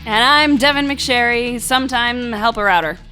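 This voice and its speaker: A woman's voice: fairly high pitch, moderate pace, pushed and bright, clean tone, faint music behind. An American woman co-hosting a podcast with casual banter and announcements. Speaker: podcast co-host.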